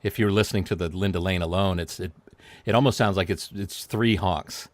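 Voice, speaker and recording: A man with a fairly low voice talks casually as a guest, wandering through a story and restarting his sentences as he goes. The sound is dry and close, with no room echo. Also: brisk. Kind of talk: casual chat.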